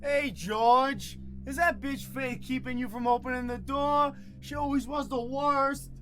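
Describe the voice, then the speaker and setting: An actor playing a taunting, pleading brother in an audio drama, crude and wheedling. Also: male voice, very high voice, fairly even intonation, measured pace, a bit bright, faint music behind. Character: Lenny, eerie, taunting, pleading brother outside a door.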